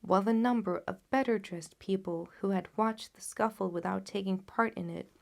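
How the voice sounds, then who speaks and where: Woman reading an old classic story audiobook style, expressive storyteller, normal mid pitch. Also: normal speed, creaky. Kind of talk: scripted literary narration.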